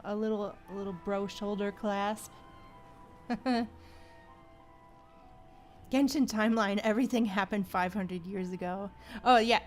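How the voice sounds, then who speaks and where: A woman livestreaming a game, chatting casually and reacting with exclamations and joking, slangy asides. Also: medium pitch.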